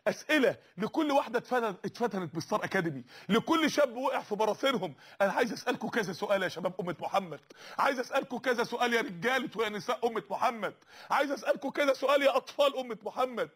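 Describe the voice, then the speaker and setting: A man's voice: high-pitched, animated, racing, a bit bright, breathy edge. A man preaching an impassioned sermon with an Egyptian accent, urgent and repetitive, calling out to his listeners. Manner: rhetorical, repetitive, urgent calls to the audience.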